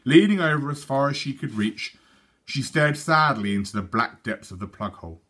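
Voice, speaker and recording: A man reads a children's storybook aloud with a teacher's measured delivery. His pitch is very animated and his tone slightly husky. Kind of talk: expressive storybook narration.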